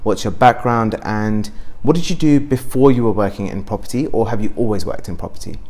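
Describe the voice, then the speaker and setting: A man's voice: on the low side, a little mellow. A man hosting a podcast interview in an upbeat, chatty way, recorded inside a luxury house. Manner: upbeat, chatty, quick short replies. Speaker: podcast host.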